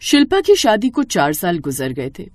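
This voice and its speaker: A woman's voice: lowish voice, wildly swooping pitch, moderate pace, background noise. A woman narrating a scripted family story as a storyteller.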